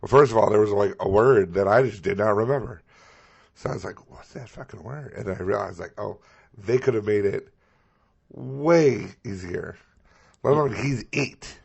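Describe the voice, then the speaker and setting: Hoarse gravelly man co-hosting. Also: on the low side, moderate pace, lo-fi sound. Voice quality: froggy, gravelly voice. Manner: rambling, swears freely. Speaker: podcast co-host. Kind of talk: casual comedic chat.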